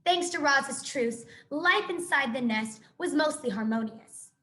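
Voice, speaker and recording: A teacher reading a children's novel aloud to her students in an expressive storytelling manner. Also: sits high, a little slow. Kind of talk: children's book read-aloud.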